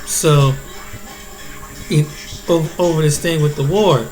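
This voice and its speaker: A man's voice: a little high, fairly even intonation, takes it slowly, darkish tone, faint music behind, poor audio. A man giving casual, rambling commentary as he reacts to news clips, repeating himself and restarting often. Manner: repetitive, restarts often, talks over clips.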